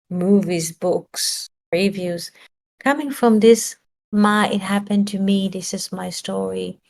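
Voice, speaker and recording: Woman giving a searching spiritual talk. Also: mid-range voice. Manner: searching for words, rhetorical questions. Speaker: spiritual teacher.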